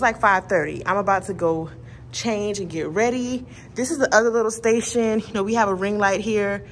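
A woman's voice: mid-range voice, slight background noise. A woman in her thirties chatting casually to viewers as a vlogger, bubbly and chatty, filming herself on a phone at arm's length in an office turned party venue. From Atlanta, United States. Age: 30s. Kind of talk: casual vlog chat to camera. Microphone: phone held at arm's length.

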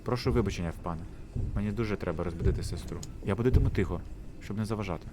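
A man voicing a poor, polite young girl in a visual novel, gentle and coaxing. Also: deep voice, rapid-fire, background noise, lightly acted. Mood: apologetic, hushed. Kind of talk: theatrical voice acting for a visual novel.

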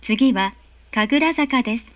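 A woman delivers recorded train announcements in a high, courteous voice. Her phrasing is formal and carefully enunciated. The sound is dry and a little muffled, as captured from a carriage speaker. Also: lively intonation, takes it slowly, no echo. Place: recorded announcement played inside subway car.

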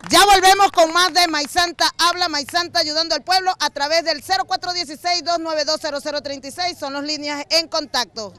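A woman's voice: extremely high pitch, fairly even intonation, fairly quick, hardly any pauses, bright, forceful tone. A middle-aged Venezuelan woman hosting community TV, interviewing people outdoors on a street with a handheld mic, effusive and fervent. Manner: effusive, fervent, repeats herself. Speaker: community TV host.

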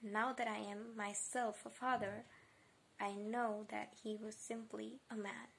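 A woman reading a novel aloud as a reflective, forgiving father, measured and wistful. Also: mid-range voice, level tone, creaky, a bit bright, a little hiss or hum. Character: reflective, forgiving father looking back on childhood.

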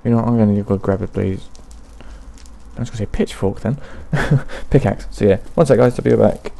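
A young man casually talking through a video game, mumbling to himself in an unhurried way, his throat bothering him. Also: on the low side, vocal fry, dark tone. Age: young adult. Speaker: gaming channel host. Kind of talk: casual let's play commentary.

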